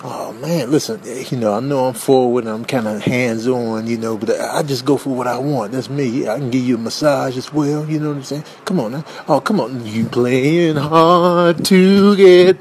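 A man's voice: mid-range voice, moderate pace, few pauses, darkish tone, slight background noise, lightly acted. A man voicing a flirtatious, pushy deer in a comedic animal voiceover, drawling and sweet-talking. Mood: flirtatious coaxing.

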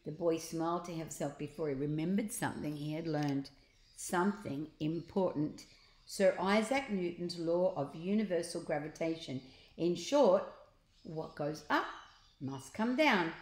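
A woman in her sixties reading a children's story aloud expressively and deliberately, with asides to young listeners. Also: fairly low pitch, darkish tone. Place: large open living room, indoors. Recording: roomy, echoing living room.

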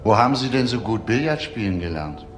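An actor playing a shrewd, provocative police detective in a crime drama, asking probing, insistent questions with pointed pauses. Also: male voice, fairly low pitch, lively intonation, soft background music, lightly acted. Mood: provoking, needling. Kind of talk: German TV crime drama acting.

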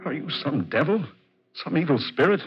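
A man's voice: on the high side, animated, moderate pace, loud music behind the voice, natural voice. An actor playing an anxious, bewildered male office clerk in a 1940s horror radio drama. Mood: agitated, pleading. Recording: dull vintage 1940s radio recording.

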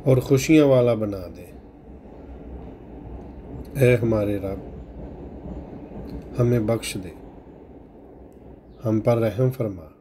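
A man slowly reciting a long devotional supplication in a solemn, measured way. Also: fairly low pitch, warm tone, a little hiss or hum. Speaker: prayer reciter.

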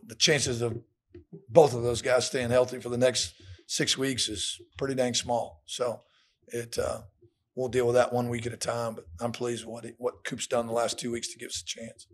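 A man's voice: medium pitch, flat delivery, normal speed. Plain-spoken American football coach, middle-aged man. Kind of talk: post-game press conference answers. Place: indoor press room at a podium. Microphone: podium microphones.

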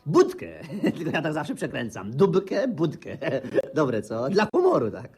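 Boorish jokester, 1950s radio play. Raised pitch, extremely animated, self-amused, clowning with words. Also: male voice, measured pace, slightly rough, full character voice. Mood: jokey, smug. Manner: mangles words for jokes, laughs at himself. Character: boorish, self-amused male joker, former class clown. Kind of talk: 1950s Polish radio comedy acting.

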